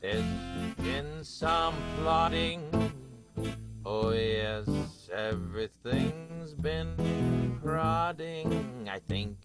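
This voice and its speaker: A man's voice: a little high, animated, very slow. A man acting in an improvised radio soap as a defensive vaudeville actor and former stunt flyer, hesitant and repeating himself. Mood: conspiratorial. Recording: music playing behind the voice.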